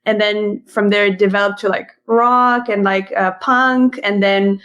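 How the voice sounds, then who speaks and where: A woman's voice: medium pitch, fairly even intonation, measured pace, fairly bright tone, clean tone, lo-fi sound. A woman from Israel, a Hebrew speaker, talking animatedly as a musician guest on a podcast, laughing often. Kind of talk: podcast interview.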